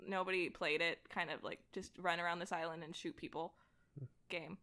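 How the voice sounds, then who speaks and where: A woman's voice: lowish voice, fairly even intonation, moderate pace, pushed and bright. A woman chatting casually about comics as a podcast guest, thinking aloud as she talks.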